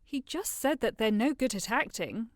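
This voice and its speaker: A woman playing a thoughtful, tender-hearted noble bride in a modernized Shakespeare audio drama, speaking in a measured, earnest way. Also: on the high side, animated, dry recording, clean studio sound, close to an everyday voice. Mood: concerned.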